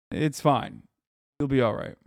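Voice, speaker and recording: A man's voice: mid-range voice, darkish tone, dry recording, clean studio sound. A man in his thirties streaming live, chatting casually and bluntly with his chat, swearing often. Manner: profane, blunt, joking with chat. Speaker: streamer reacting to videos.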